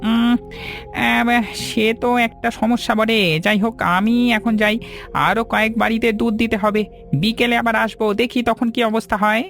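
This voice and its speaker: A man's voice: very high voice, monotone, moderate pace, vocal fry, a bit bright, faint music behind, heavily acted. A man voicing a sympathetic, reassuring village milkman with a gossipy manner in a cartoon story. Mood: thoughtful.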